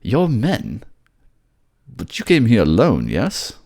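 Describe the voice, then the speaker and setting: A man acts out a flamboyant young nobleman from a fantasy audio drama. He is effusive and affectionate, with a swooping, animated delivery. Every phrase has a cheeky, teasing polish to it. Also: fairly low pitch, takes it slowly, voice pushed up, heavily acted. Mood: surprised. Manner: effusive, teasing, calls listener pet names.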